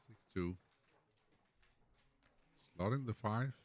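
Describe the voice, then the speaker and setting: A man's voice: lowish voice, slow pace, a little hiss or hum. A man commenting on his own backgammon match, thinking aloud in English, which is not his first language. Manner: analytical, muses over moves half to himself. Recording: dull lo-fi recording.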